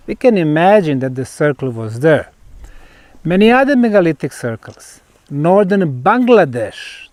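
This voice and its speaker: A man with a fairly high voice guides a group through a site. He speaks very slowly, setting out short, emphatic phrases with deliberate pauses. The sound is dry, with little echo. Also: darkish tone. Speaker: researcher and tour guide. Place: outdoors at a megalithic stone site.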